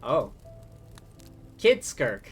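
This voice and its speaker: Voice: medium pitch, huge pitch swings, drawn-out words. A game streamer giving casual, informal reaction commentary in a musing way. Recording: faint game music behind.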